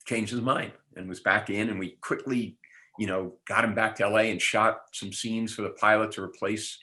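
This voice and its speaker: A man's voice: lowish voice, fairly even intonation, moderate pace, pushed and bright. A man reminiscing casually at a reunion, telling anecdotes in a wry, hesitant way. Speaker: show alumnus on a reunion panel.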